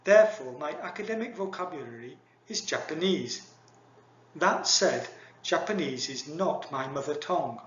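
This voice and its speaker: Male lecturer, slightly raised pitch, formal scripted delivery, roomy muffled sound. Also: animated, normal speed, a bit bright, a little hiss or hum. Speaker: university lecturer. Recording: echoey dull lo-fi recording.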